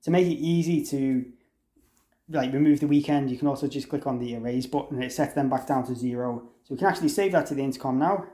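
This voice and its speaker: A man's voice: medium pitch, fast, mellow. A man giving an informal, unscripted product tutorial, explaining things conversationally and thinking aloud as he demonstrates. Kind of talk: informal software walkthrough, unscripted. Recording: roomy, echoey room sound.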